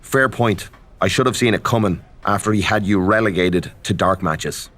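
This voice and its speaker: A man's voice: fairly low pitch, moderate pace, fairly bright tone, close to an everyday voice. A man playing a sympathetic wrestler ally in a game cutscene, talking earnestly and supportively over slight background noise. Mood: concerned. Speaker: wrestler character. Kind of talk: conversational video game cutscene.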